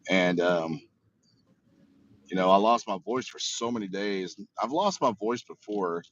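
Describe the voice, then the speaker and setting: A man chatting casually as livestream co-host, laid-back with drawn-out fillers. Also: deep voice, lively intonation. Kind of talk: casual chat. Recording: dull lo-fi mic.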